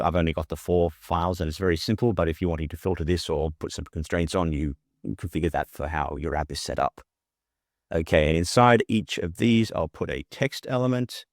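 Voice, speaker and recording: A man presenting a software tutorial as a casual screen-share walkthrough, talking informally and thinking aloud as he demonstrates. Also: deep voice, no room sound, studio quality.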